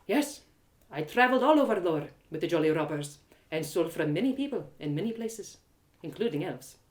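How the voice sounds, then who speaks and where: A woman's voice: lowish voice, big pitch swings, brisk. A woman voicing a frank, inquisitive former woman thief in an audio drama, talking in a chatty, knowing, probing way. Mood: confessing.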